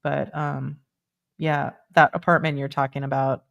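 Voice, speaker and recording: Gravelly-voiced woman around sixty. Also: deep voice, unhurried. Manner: chatty, then steady guiding with yes-no pendulum checks. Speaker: energy work host. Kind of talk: live stream chat and guided energy meditation.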